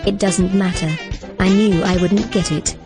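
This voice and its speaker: Downcast young girl played by a woman, medium pitch, plaintive and sulky, radio drama over clear music. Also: fairly even intonation, normal speed, close to an everyday voice. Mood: disappointed. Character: disappointed, sulky little girl. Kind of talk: radio play acting.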